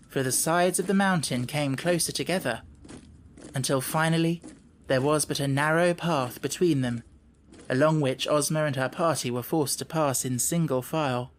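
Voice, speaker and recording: A man's voice: a little high, slight background noise. A man narrating a children's story as a scripted audiobook reading.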